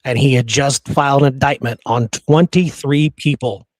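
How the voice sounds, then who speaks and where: A man's voice: mid-range voice, normal speed, no room sound, rough recording. A man co-hosting a history podcast in a casual, conversational style.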